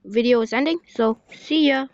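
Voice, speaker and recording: A woman giving excitable live gameplay commentary, with frequent short repeated exclamations. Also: on the high side, normal speed, lo-fi sound. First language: Thai. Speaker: gamer playing a storm-chasing game.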